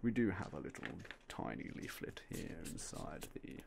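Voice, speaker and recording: Whispering man, gravelly low voice. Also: big pitch swings, moderate pace, background noise. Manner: quiet, whispery, polite shopkeeper patter. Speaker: game shop clerk in roleplay. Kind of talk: ASMR roleplay, soft-spoken whisper. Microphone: binaural mic, close.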